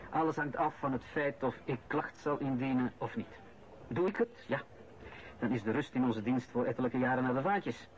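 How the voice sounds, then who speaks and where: An actor playing a calculating incoming director in a radio play, measured, formal and insinuating. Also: male voice, mid-range voice, natural voice. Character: calculating, smooth-talking male incoming director pressuring a colleague. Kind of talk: radio drama acting, conversational. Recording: muffled old radio recording, noisy background.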